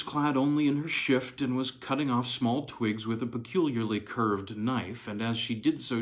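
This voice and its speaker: A male storyteller with a medium-pitched voice reads an old ghost tale aloud. His delivery is measured and expressive, in the style of a literary audiobook. He places deliberate pauses between phrases.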